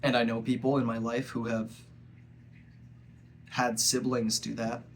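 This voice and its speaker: A young man with a cold and a stuffy nose, talking earnestly and haltingly in a personal reflective monologue. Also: medium pitch, flat delivery, slight background noise. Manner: earnest, halting, with frequent pauses. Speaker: vlogger.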